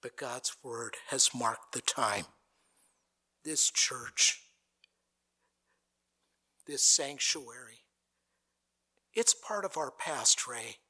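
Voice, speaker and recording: A pastor preaching at a conference, building to a dramatic recited speech. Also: male voice, medium pitch, drawn-out words, long pauses, pushed and bright, husky. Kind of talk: sermon. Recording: played from an archive recording.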